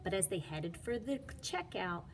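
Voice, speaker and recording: A woman's voice: mid-range voice, expressive, normal speed, over music. A woman reading a children's storybook aloud as a teacher, with dramatic, emphatic delivery.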